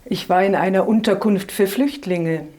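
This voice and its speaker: A woman playing a once cheerful wise traveler, now serious, speaking gently in an amateur church nativity play. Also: medium pitch, moderate pace, lightly acted.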